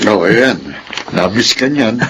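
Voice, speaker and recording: A man's voice: lowish voice, takes it slowly. A man with a Filipino accent playing an older, homely father with authority in a radio drama. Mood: homely, welcoming. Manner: fatherly, firm. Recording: faint background noise.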